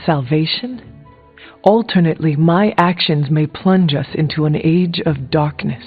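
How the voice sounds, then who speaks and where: Formal warrior heroine, phone-like sound. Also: female voice, sits low, unhurried, husky. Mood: grave, resolute. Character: solemn supernatural warrior hero, game protagonist. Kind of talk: scripted video game dialogue. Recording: muffled phone-like band, music behind.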